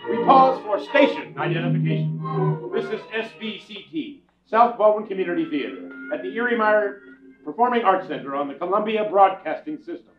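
An older man acting as a radio announcer narrates a stage radio play in a high-pitched voice. He is distant and echoey, with music behind him. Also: lively intonation, a little fast, dull audio. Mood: formal. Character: old-time radio drama announcer. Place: indoor theatre stage, distant camera.

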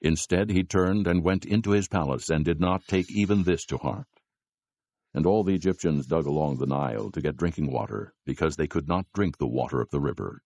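A man giving solemn, measured scripted narration for a dramatized scripture reading. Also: a bass voice, darkish tone.

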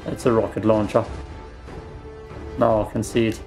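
A man's voice: fairly low pitch, level tone, normal speed, darkish tone, faint music behind. A man whose first language is German, casually commenting while playing a game, rambling and reacting aloud with self-deprecating remarks, recorded on a desk microphone at a home gaming setup. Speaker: let's play gamer.

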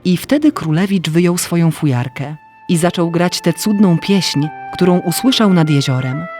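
A woman's voice: fairly low pitch, animated, normal speed, music in the background. A woman reading a scripted fairy tale as narrator.

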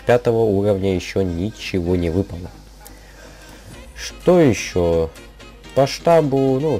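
A young man with a slightly sick voice giving casual, rambling game commentary in halting gamer slang over background music. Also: on the low side, mellow. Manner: informal gamer slang, halting, thinking aloud. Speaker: gaming video creator. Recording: music behind the voice.

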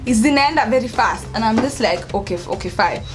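High-pitched young Kenyan woman storyteller. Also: expressive, brisk, music in the background. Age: young adult. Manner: dramatic, acts out dialogue, code-switches into Swahili. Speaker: vlogger telling a story. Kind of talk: animated casual storytelling to camera. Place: indoors by an open doorway at home.

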